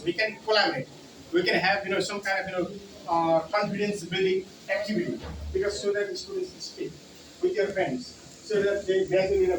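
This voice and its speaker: A man with a Nepali accent giving a conference talk on English teaching, with many "you know" fillers and repeated key words. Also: high voice. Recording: roomy hall from far off, noisy.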